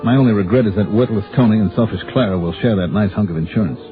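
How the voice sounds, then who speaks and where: An actor playing a brisk, shrewd, skeptical insurance investigator with an American accent and clipped, probing delivery in a 1960s radio drama. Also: male voice, lowish voice, lightly acted. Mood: wry narration. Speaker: actor in a radio detective series. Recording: tinny vintage radio recording, music behind.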